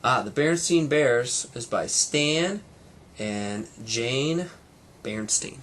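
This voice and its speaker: A man's voice: mid-range voice, animated, slow pace, fairly bright tone, slight background noise. A man, a teacher, reading a children's storybook aloud to students with casual little asides.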